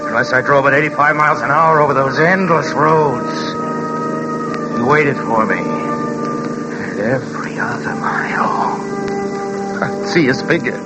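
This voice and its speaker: An actor playing a frightened, haunted man driving alone, narrating intensely and haltingly in 1940s radio drama style. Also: medium pitch, very animated, rough recording. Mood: mounting dread. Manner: intense, halting, repeating himself. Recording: old 1940s radio broadcast with music.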